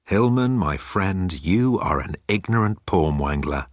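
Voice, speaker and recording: A man's voice: deep voice, animated, a little slow, no room sound, clean studio sound. A man voicing a sly, cocky alien swindler who is mocking and condescending toward humans. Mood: scornful.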